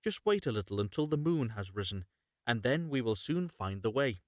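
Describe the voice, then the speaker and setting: A man voicing a gentle, protective young brother in a fairy tale, soothing and earnest. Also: medium pitch, sing-song, normal speed, no room sound, studio quality, lightly acted. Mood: comforting. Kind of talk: storybook character reading.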